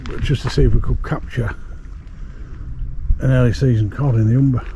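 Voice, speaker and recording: A man's voice: medium pitch, fairly even intonation, normal speed, dark tone, a little hiss or hum, rough recording. A middle-aged man gives a rambling, casual fishing update to camera, outdoors at night. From England. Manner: rambling, chatty, with many fillers. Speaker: fishing vlogger.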